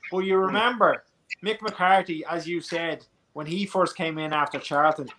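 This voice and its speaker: A man's voice: fairly high pitch, steady tone, moderate pace. Passionate Irish man on a noisy call. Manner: passionate, repeats points for emphasis. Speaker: football pundit. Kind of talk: casual post-match chat. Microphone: video call.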